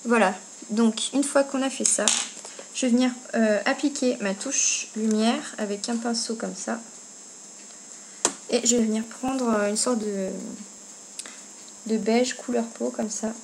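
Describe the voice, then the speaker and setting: A woman talks through a makeup tutorial in an informal way, as if thinking aloud while she works. Her voice sits fairly high. It is a homemade indoor recording with some faint noise behind her. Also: fairly even intonation, measured pace, pauses often. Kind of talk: casual step-by-step tutorial chat. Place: indoors at home. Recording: noticeable background noise, amateur home video.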